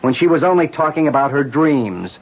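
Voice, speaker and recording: A man's voice: a little high, lively intonation, normal speed. An actor playing a worried, determined man who questions earnestly and insistently, in a 1970s radio drama. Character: worried, determined man protecting his wife. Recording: dull lo-fi old radio recording.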